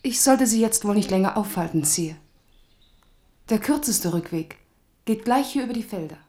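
A woman's voice: mid-range voice, lively intonation, moderate pace, mellow, natural voice. An actress playing a reserved, courteous noblewoman in a radio drama. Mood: polite, reserved. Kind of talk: 1970s German radio drama acting.

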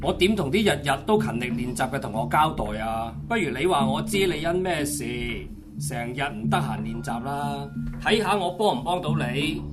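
Man as a stern, higher-voiced school coach. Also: animated, normal speed, lightly acted. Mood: stern scolding. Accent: Hong Kong Cantonese. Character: stern, exasperated male school football coach. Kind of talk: radio drama acting. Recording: music behind the voice.

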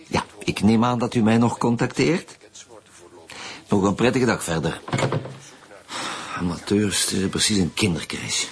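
An actor playing a gruff, curt and drily sarcastic chief detective with a Flemish accent in a radio drama. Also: male voice, fairly low pitch, a little hiss or hum, close to an everyday voice. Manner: curt, bossy, dry sarcasm.

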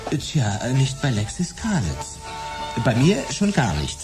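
Pompous, squeamish music stylist: affected, easily offended, very animated delivery, radio play. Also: male voice, mid-range voice, a little slow. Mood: evasive, smug. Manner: pompous, prissy, hesitant, indignant. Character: vain, squeamish, affected male pop stylist and self-declared artist. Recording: music behind the voice.

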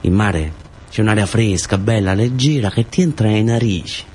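Husky southern Italian male storyteller. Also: on the low side, normal speed, darkish tone. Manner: short phrases with incantatory repetition. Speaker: actor-storyteller. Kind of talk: dramatic first-person theatre monologue.

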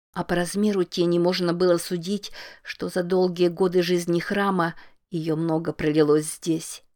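A woman reading a novel aloud as an audiobook narrator, in a calm, measured way. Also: fairly low pitch.

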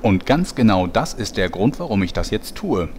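A man's voice: lowish voice, big pitch swings, moderate pace, darkish tone, slight background noise. A man playing a sulky, aggrieved narrator making demands in a parody audio drama. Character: disgruntled male storyteller on strike, sulky and demanding.

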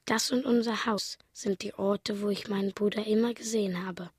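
Woman as hushed, wondering village youngster. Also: mid-range voice, steady tone, moderate pace, breathy edge, dry recording, lightly acted. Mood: hushed, pensive. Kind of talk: radio play acting, inner voice. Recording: studio with faint music behind.

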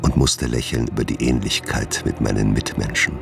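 An actor playing a tormented, secretive gentleman doctor in a German radio drama, brooding and formal in his diction, with a gravelly voice. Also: very deep voice, fairly even intonation, moderate pace, over music, full character voice. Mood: brooding, confessional. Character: tormented gentleman doctor, secretive, guilt-ridden, haunted by his dark side. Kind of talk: German radio drama acting and first-person narration.

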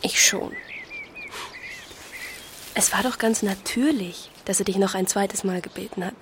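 Woman playing witty young sister, teasing, frank, medium pitch, outdoor bird noise, radio drama. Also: a little slow, natural voice. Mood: teasing, affectionate. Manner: playful, candid. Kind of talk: German radio drama acting. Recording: clear outdoor noise with birdsong.

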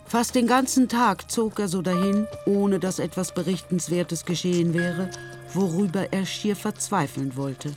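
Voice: mid-range voice, a little slow, close to an everyday voice. A performer playing the storyteller of a mock-heroic knightly tale, narrating a literary radio play with theatrical expression. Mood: storytelling. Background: music.

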